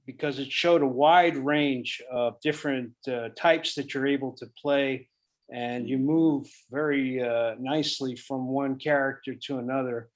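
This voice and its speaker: A man hosting a table read on a video call, polite and hesitant, with many pauses and fillers. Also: mid-range voice, fairly even intonation. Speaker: writer and host of the table read. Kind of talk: casual video call chat.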